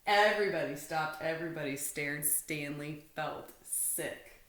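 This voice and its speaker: A woman's voice: deep voice, unhurried, a bit bright. A woman reading a children's storybook aloud expressively, like a teacher to pupils. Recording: roomy indoor echo.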